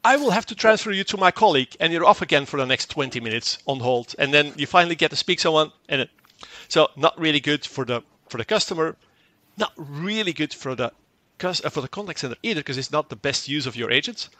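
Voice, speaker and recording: A man's voice: fairly high pitch, expressive, fast talker, pushed and bright, no room sound. A man whose first language is Dutch, explaining a product in an interview and often repeating words as he goes. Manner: fluent but repeats words and restarts, acts out short quoted exchanges. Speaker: tech company guest.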